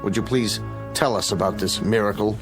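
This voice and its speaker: Actor as low-voiced, formal male official. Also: normal speed, over music, natural voice. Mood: formal, probing. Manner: formal, matter-of-fact. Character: mayor's reserved male associate, a formal questioner. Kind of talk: audio drama acting.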